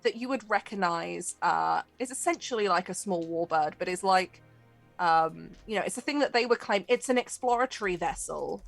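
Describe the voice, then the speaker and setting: A woman's voice: fairly low pitch, moderate pace, vocal fry, soft background music. A woman narrating a tabletop game as game master, in a conversational, explanatory way.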